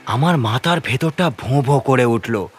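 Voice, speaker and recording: A man's voice: medium pitch, lively intonation, normal speed, slight background noise. A man narrating a scripted horror story in the first person, with a suspenseful, theatrical delivery.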